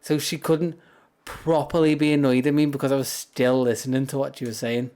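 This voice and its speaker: A man chats in a loose, rambling way on a livestream. His voice is deep and grumbly, and he keeps it low instead of projecting, so a close, high-gain mic picks up every soft word. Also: flat delivery, a little fast. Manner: rambling, chatty, soft-spoken without projecting. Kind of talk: casual livestream chat. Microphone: high-gain streaming mic up close.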